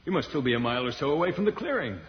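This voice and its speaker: Earnest radio space hero, reverberant. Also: male voice, fairly high pitch, sing-song, rapid-fire. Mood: calm reasoning. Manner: earnest, reasoning aloud. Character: heroic male space adventurer, resolute, level-headed protector. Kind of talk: old-time radio serial acting. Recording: muffled, hissy vintage radio, cavernous reverb.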